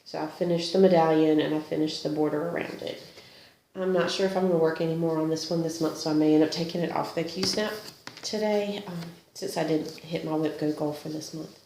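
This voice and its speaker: A woman's voice: deep voice, steady tone, fairly quick, dark tone, slight background noise. A woman with a slight cold, chatting casually about her cross-stitch projects, self-deprecating and laughing often. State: sniffly with a cold. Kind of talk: casual show-and-tell chat. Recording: echoey room.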